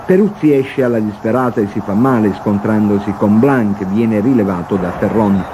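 Low-voiced male football reporter, noisy broadcast. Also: normal speed. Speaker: sports reporter. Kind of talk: scripted football match report narration.

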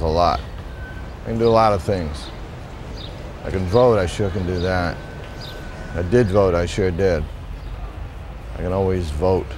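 A man playing a rambling, melancholy middle-aged poet in a theatrical monologue, halting and musing, repeating phrases to himself. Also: sits low, a little mellow, slight background noise. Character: rambling, melancholy washed-up male poet, wistful and self-doubting. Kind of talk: theatrical monologue with recited verse.

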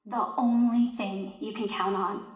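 A woman's voice: mid-range voice, fairly even intonation, measured pace, close to an everyday voice. A woman playing a candid teenage girl giving earnest, confessional testimony in an audio drama. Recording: echoey room, distant mic.

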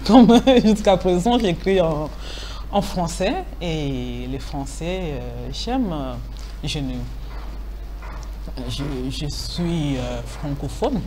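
A woman's voice: low voice, animated, slow pace, mellow, a little hiss or hum. A woman in her forties, a writer answering interview questions in a TV studio, talking hesitantly with many fillers and restarts and laughing easily. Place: TV studio set.

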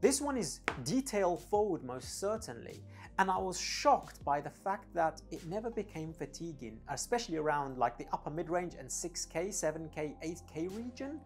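A man's voice: fairly high pitch, sing-song, moderate pace, darkish tone, faint music behind. Man reviewing audio gear, emphatic delivery. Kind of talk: product review to camera. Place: dim indoor home studio room. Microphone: lapel clip-on mic.

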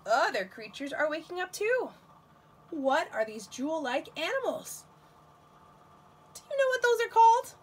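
A woman's voice: very high voice, big pitch swings, normal speed, pauses often, darkish tone, a little hiss or hum. A woman reading a picture book aloud to young children as their teacher, expressively, often putting questions straight to them.

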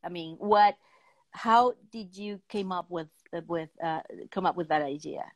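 Halting middle-aged woman hosting. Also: lowish voice, no echo. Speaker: talk show host. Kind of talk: casual video interview, asking questions. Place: room at home, video call.

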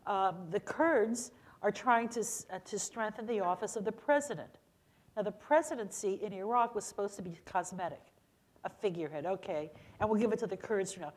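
A woman's voice: mid-range voice, fairly quick, a little mellow. An older woman speaking emphatically as a panelist on a lecture hall stage. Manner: emphatic, explanatory. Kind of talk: panel discussion.

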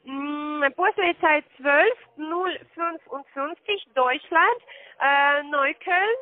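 A woman with a high voice and a foreign, non-native accent talks slowly over a narrow phone line. She works as a call-centre agent, hesitating as she checks and repeats details. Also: dry recording. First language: not German. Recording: dull band-limited phone line.

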